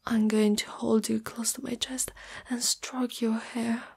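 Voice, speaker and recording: A woman voicing a shy, caring spider monster girlfriend in a gentle, intimate, mostly whispered ASMR roleplay. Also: on the high side, flat delivery, measured pace, creaky, slightly rough, exaggerated voice. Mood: loving, soft. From Italy.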